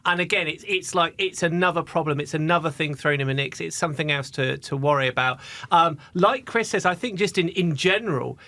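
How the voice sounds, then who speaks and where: A man's voice: fairly high pitch, brisk, hardly any pauses, bright, forceful tone. A man, an aviation journalist, giving thoughtful opinions on a podcast, often hedging with "I think". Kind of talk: casual opinion discussion.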